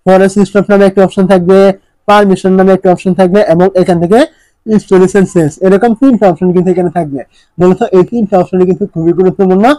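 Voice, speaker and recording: A man's voice: sits high, mellow, no echo, poor audio. A man narrating a step-by-step phone tutorial, instructing repetitively and often posing questions before answering them. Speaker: tech tutorial presenter.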